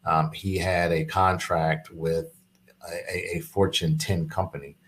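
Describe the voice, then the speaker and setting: Very deep voiced business guy explaining stuff, lots of ums. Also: level tone, unhurried, a little hiss or hum. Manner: affirming, explanatory with fillers. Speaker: business executive guest. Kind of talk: casual interview chat.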